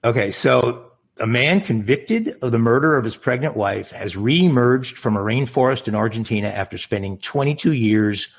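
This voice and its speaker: A man co-hosting a crime news podcast, adding dry, wry comments in a measured way. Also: medium pitch, lively intonation, gravelly, husky. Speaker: podcast co-host. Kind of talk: casual crime news chat.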